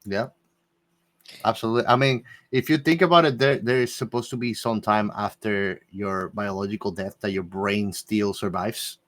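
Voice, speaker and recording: Low-voiced man musing in discussion. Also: steady tone, moderate pace, fairly bright tone. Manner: tentative, searching for words. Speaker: podcast panelist. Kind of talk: casual speculative discussion.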